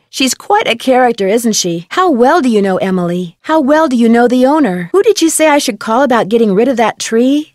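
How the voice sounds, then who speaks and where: A woman playing a curious, polite young female detective in a video game, asking questions in a clear, inquisitive manner. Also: fairly high pitch, dry recording, studio quality, close to an everyday voice. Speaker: game protagonist. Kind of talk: scripted video game dialogue.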